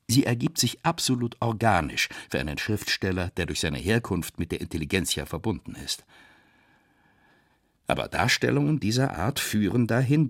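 A man's voice: low-pitched, a bit bright, husky, no echo, clean studio sound, full character voice. An actor playing an embattled writer who reads out his defiant letter of protest, grave and emphatic, in a radio drama style.

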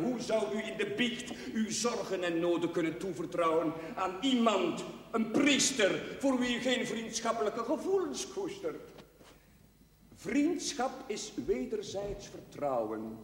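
An actor playing an earnest young chaplain in a television drama, preaching in solemn, measured, declamatory phrases. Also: male voice, high-pitched, lively intonation. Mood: fervent, pleading. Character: earnest young newly arrived chaplain preaching to his parish. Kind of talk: solemn rhetorical sermon, television drama acting. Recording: echoey church, distant from the microphone.

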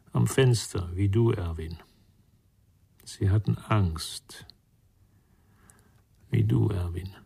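An actor playing a grave, gentle confidant in a radio crime drama, speaking in a reflective, measured way with a husky voice. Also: male voice, fairly low pitch, heavily acted. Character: grave, gentle male confidant with childhood exile memories.

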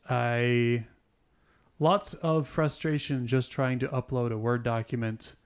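A young man in his twenties chats loosely on a podcast. He rambles through his points, chuckling as he goes, in a voice of middling pitch. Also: clean tone. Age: 20s. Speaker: podcast co-host. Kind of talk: casual chat.

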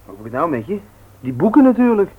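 A man's voice: high voice, sing-song, fast talker, breathy edge, full character voice. An actor in a Dutch radio play, voicing an eager young man who hesitates and repeats his words. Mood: pushy, upbeat. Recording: dull, lo-fi old radio recording.

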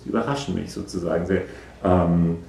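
A man's voice: low-pitched, steady tone, fairly quick, gravelly, darkish tone, echoey room. An actor playing a psychologist in a naturalistic radio drama, hesitantly probing and repeating back what he is told. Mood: mildly skeptical. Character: evasive male psychologist, soft, probing, echoing every answer. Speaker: psychologist conducting an assessment.

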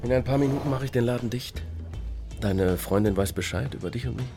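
Actor as a gruff, bulky barkeeper crook. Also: male voice, mid-range voice, a little fast, over music, natural voice. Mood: gruff, sardonic. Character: McWhitney, tough, bulky barkeeper and criminal. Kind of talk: German radio crime drama acting.

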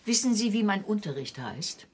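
Husky, probing woman teacher. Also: medium pitch, sing-song. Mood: demanding, intense. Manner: deliberate, insistent, questioning. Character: probing, commanding female movement teacher at an art school. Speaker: actor in a radio drama. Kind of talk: radio drama acting.

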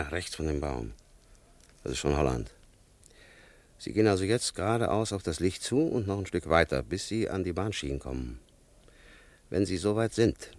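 An actor playing a gruff, furtive officer on night escort in a German radio play, instructing in an impatient, hushed manner. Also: male voice, low voice, no room sound.

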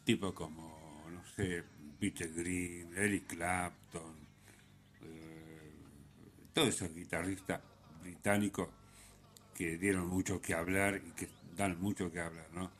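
A man hosting a radio music show, giving unscripted commentary in a halting way, often hesitating and correcting himself. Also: sits low, fairly even intonation, fairly bright tone, slight background noise.

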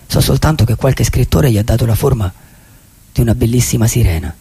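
Husky, gravelly lonely vagabond dreamer. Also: male voice, mid-range voice, animated, mellow, full character voice. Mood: musing. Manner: reflective, halting, with dramatic pauses and repeated phrases. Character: lonely, dreamy male vagabond, wistful and philosophical. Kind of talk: poetic radio theatre monologue.

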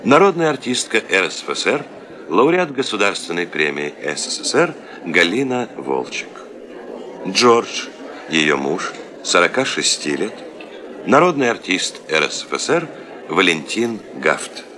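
Very deep-voiced, animated male announcer. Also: crowd noise. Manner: measured, formal announcing. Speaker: announcer and narrator. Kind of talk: scripted radio play narration.

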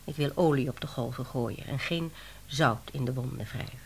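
An actress playing a wry, harried middle-aged woman editor in a Dutch radio play, expressive and exclamatory. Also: rumbling low, moderate pace, very noisy. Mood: reassuring.

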